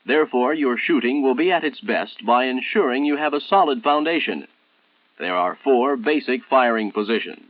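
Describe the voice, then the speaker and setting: An American man narrating a 1960s military training film in a formal, measured, instructional manner, on an old film soundtrack. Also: mid-range voice, animated, no room sound, narrow, tinny audio, close to an everyday voice.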